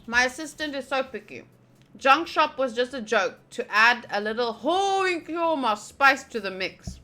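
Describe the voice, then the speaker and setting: A woman's voice: high-pitched, expressive, unhurried, sharp tone. A woman streaming a game, reading its text aloud and chatting casually with playful asides.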